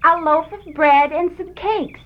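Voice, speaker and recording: A woman's voice: very high-pitched, unhurried, voice pushed up. A woman reading a storybook aloud, voicing an innocent, trusting little girl who speaks politely and naively. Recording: dull lo-fi storybook recording.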